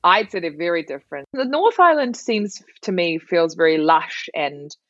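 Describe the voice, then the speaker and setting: A woman's voice: fairly low pitch, big pitch swings, normal speed, fairly bright tone, no room sound, muffled recording. A young woman with a New Zealand accent, a comedian chatting as a guest, laughing often and restarting her sentences. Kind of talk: casual podcast interview.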